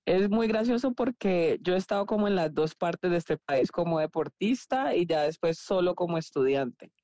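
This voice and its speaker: Mellow Colombian woman in her thirties. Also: on the low side, lively intonation, normal speed. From Cali, Colombia. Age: 30s. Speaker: podcast guest. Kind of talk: casual conversational interview.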